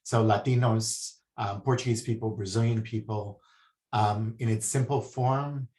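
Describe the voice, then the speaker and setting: Low-voiced guy from hawaii, soft and halting, lots of ums. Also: fairly even intonation. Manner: soft-spoken, hesitant with many ums. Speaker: guest, spiritual healer. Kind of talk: casual interview talk. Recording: echoey room.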